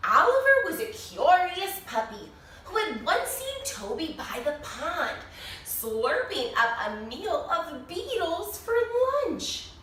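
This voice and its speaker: Woman, children's story reading, very lively melody, unhurried, sing-song, distant mic, strong room echo. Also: extremely high pitch. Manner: expressive, sing-song, explains words and asks questions. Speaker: children's author reading own picture book. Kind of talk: animated read-aloud story for young children. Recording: very echoey room, distant mic, faint noise.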